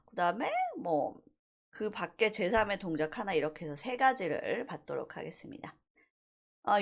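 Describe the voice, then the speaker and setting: A woman teaching a university animation class through a screen-recorded software tutorial, explaining step by step with frequent fillers. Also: mid-range voice.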